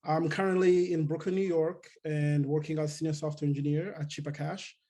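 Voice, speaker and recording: A man from Rwanda giving a relaxed talk on a video call, with many fillers and restarts. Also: on the high side, fairly quick. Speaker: guest speaker. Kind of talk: casual technical talk.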